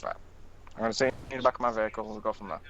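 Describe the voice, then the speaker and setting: A man's voice: lowish voice, racing, fairly bright tone, slight background noise. A man playing a police officer in online roleplay, reciting procedure. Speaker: player voicing a police officer.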